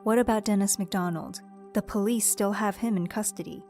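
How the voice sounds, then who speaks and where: A woman's voice: medium pitch, moderate pace, a little mellow, faint music behind, close to an everyday voice. A woman playing a cautious, worried vigilante sister in an audio crime drama. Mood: cautious concern.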